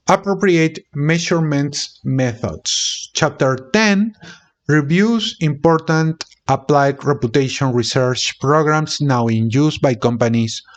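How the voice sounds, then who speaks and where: A man reading a business textbook aloud in a deliberate, halting way, sometimes repeating words. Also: on the high side. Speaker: channel host reading a book aloud.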